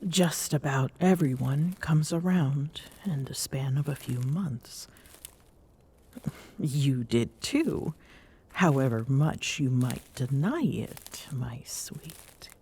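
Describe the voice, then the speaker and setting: A woman playing a regal, smitten Amazonian queen in an intimate ASMR romance roleplay, speaking formally and softly with coy pauses, often near a whisper. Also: very deep voice, animated, a little hiss or hum, heavily acted. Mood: earnest, murmuring. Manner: formal, haughty diction with coy hesitations and murmured endearments.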